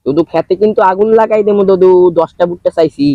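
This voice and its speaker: A man's voice: sits high, steady tone, fast talker, no room sound, dull audio, natural voice. A man playing a cheeky young prank caller, mock-polite and insistent, in a comedy dub. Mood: insistent, threatening. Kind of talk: comic prank-call dubbing sketch.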